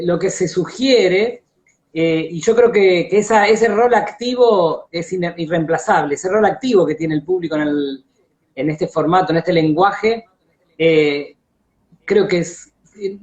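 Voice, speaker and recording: An Argentine man hosting a casual, enthusiastic conversation, speaking haltingly and repeating words as he thinks aloud over a video call. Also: high voice, a little mellow. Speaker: interview host. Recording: dull lo-fi live-stream audio.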